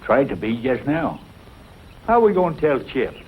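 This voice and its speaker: An actor playing a folksy, loyal rancher sidekick in an old western film, plainspoken and earnest. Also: male voice, mid-range voice, big pitch swings, moderate pace, natural voice. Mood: earnest, concerned. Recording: muffled, noisy old film soundtrack.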